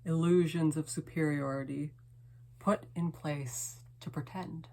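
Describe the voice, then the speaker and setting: Female poet, low register, unhurried dramatic delivery with hushed, lingering phrasing. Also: steady tone, slight background noise. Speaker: poet reading own poem. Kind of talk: slow dramatic poetry reading.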